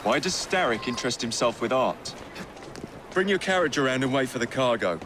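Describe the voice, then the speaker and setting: A man's voice: medium pitch, animated, brisk, background noise, close to an everyday voice. An actor playing a cocky young Victorian gang leader in a game, a man with an English accent, blunt and wry. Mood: wry, casual. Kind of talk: video game cutscene acting. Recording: music under the voice.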